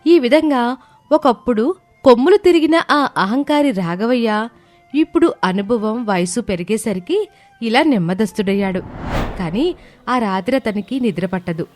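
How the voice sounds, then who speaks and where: A woman's voice: fairly high pitch, lively intonation, normal speed, darkish tone, faint music behind. A woman giving scripted narration of a moral tale as storyteller.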